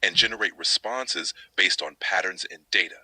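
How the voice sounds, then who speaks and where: Very bright, deep male AI assistant voice. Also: slightly rough, no echo, low-quality recording. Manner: measured, articulate, neutral. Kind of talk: explanatory question answering.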